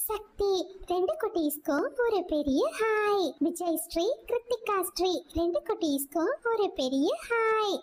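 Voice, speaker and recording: A woman storyteller delivering scripted narration for children. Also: very high-pitched.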